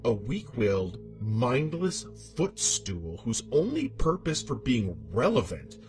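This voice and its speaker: A man's voice: mid-range voice, huge pitch swings, slow pace, darkish tone, soft background music. A man voicing a scorned, vengeful demonic ex-wife in a horror monologue, haughty, bitter and theatrical. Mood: haughty contempt.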